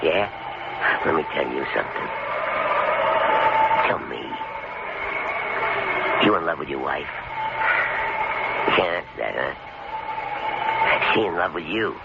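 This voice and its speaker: Through a muffled, very noisy old radio recording comes a menacing killer with a very deep, gravelly voice. He drawls and mocks, needling his captives in classic radio drama style. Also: lively intonation, measured pace, pauses often, heavily acted. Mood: cold, needling. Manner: mocking, drawling, needling with questions. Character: menacing, taunting killer holding a couple captive.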